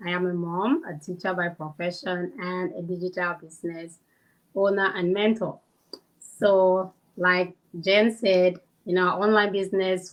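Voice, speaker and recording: A woman's voice: medium pitch, rough recording. A woman with a Cameroonian accent chatting casually as the guest in an interview. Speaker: interview guest, kindergarten teacher.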